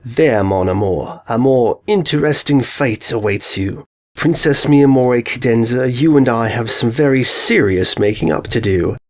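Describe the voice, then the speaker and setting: A man's voice: mid-range voice, normal speed, a little mellow, natural voice. A man voicing a flirty male pony prince who plays up mock-romantic lines.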